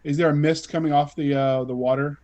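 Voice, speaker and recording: A man's voice: fairly high pitch, steady tone, fairly quick, darkish tone, lo-fi sound. A man playing a tabletop game, chatting casually at the table.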